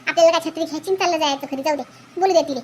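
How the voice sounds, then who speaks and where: A woman voicing several bickering village women in a cartoon, shrill and scolding, with a Varhadi Marathi accent. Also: racing, a little hiss or hum, lightly acted. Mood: soothing. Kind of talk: cartoon voice acting.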